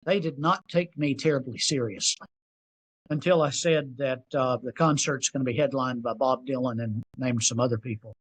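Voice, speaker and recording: A man in his sixties with a Southern US accent, a podcast guest telling stories in an animated, self-deprecating way. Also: medium pitch, moderate pace, slightly rough, low-quality recording. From North Carolina. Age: 60s. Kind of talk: storytelling interview.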